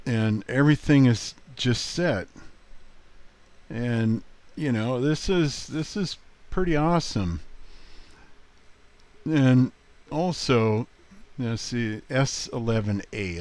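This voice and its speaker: Man, lowish voice, very slow pace, casual hobbyist host, rambling and halting screen commentary. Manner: rambling, halting, thinking aloud. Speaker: host of a radio hobby video.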